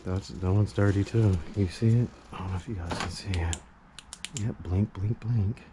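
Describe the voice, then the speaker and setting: Low-pitched man muttering through a repair. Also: steady tone, moderate pace. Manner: slangy, muttering to himself and addressing viewers. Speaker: amateur repair vlogger. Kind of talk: casual unscripted chat while working on a repair. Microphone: GoPro action camera. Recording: noisy action-camera audio.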